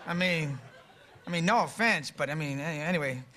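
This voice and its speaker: A man's voice: a little high, normal speed, sharp tone. An actor playing a brash, teasing, streetwise guy in a radio play, needling in slangy New speech. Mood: mocking, amused. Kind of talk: stage play performed for radio.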